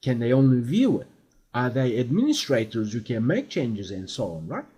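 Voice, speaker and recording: A man talking through a screen-recorded software tutorial as an instructor, in an explanatory way with fillers and quick checks for understanding. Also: mid-range voice, big pitch swings, breathy edge.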